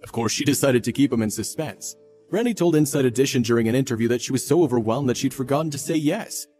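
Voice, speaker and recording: Fast-talking male narrator, mellow tone. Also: medium pitch. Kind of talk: scripted viral story narration. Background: faint background music.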